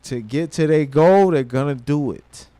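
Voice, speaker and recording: A man in his thirties livestreaming, a rapper talking casually and swearing freely, mixing thoughtful musing with loud excitement. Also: on the high side, a little slow, clear, resonant tone, no echo. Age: 30s. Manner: reflective, then loud and excited with laughter and swearing.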